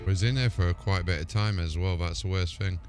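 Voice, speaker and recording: A man's voice: low-pitched, a little fast, music in the background. A man giving casual, chatty live commentary as he plays a game on stream. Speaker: streamer and gamer.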